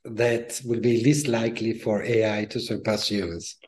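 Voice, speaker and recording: A man's voice: lowish voice, level tone, normal speed. A man from Italy with an Italian accent, answering as an interview guest in thoughtful, hesitant phrases. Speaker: interview guest, leadership coach. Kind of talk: conversational interview.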